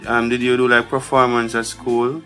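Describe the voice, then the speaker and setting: A man's voice: medium pitch, monotone, moderate pace, pushed and bright, soft background music. A man, a radio DJ, asking interview questions in a casual, repetitive way. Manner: casual, repeats words, "cool, cool".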